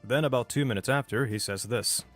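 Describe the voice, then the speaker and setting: Fast-talking Norwegian man narrating an essay. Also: mid-range voice, faint music behind. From Norway. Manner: measured, critical, explanatory. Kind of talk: scripted video essay narration.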